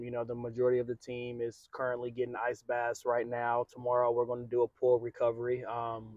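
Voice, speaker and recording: A middle-aged man, a head soccer coach, giving a measured post-game interview outdoors on a field at night. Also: mid-range voice, no room sound, rough recording. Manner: measured, steady, frequent fillers.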